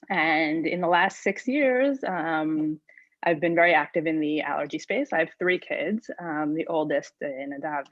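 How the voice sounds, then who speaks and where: Deep, gravelly-voiced woman presenting. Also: no room sound, dull audio. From New York, USA. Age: 30s. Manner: chatty, with many ums and likes. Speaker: presenter and nonprofit volunteer. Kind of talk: personal presentation.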